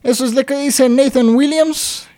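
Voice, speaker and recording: A man hosting a music radio show, introducing songs in a relaxed, casual way with frequent "eh" pauses. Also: very high voice. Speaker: radio DJ and host.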